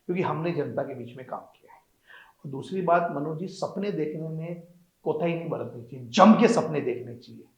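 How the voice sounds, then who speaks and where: A man's voice: a little high, fairly quick, echoey room, lo-fi sound. A man giving emphatic, declamatory interview answers as a political hopeful. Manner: emphatic, declamatory, repeats phrases. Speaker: political aspirant guest.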